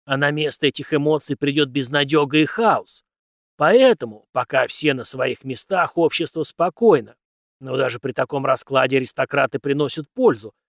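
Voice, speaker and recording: A man's voice: fairly high pitch, fairly bright tone, no room sound, clean studio sound. A man voicing a stern, cynical, commanding mentor in an audiobook, lecturing emphatically with rhetorical questions. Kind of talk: audiobook character dialogue.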